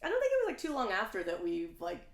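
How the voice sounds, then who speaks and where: A woman's voice: medium pitch, huge pitch swings, fast. A woman hosting a podcast, chatting casually and rambling with lots of "like" and restarts. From Langley, Canada. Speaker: podcast host. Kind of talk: casual interview chat. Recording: roomy echo.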